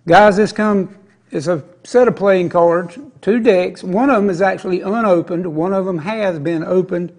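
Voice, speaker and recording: A man's voice: high voice, normal speed, a little mellow. A man hosting a TV shopping show, talking up items in folksy, chatty salesman banter from an indoor studio set on a lapel mic. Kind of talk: TV shopping pitch.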